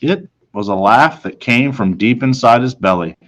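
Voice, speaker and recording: A man reading a children's storybook aloud in a dramatic manner, with deliberate pauses. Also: medium pitch, animated, fairly bright tone. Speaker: storybook reader.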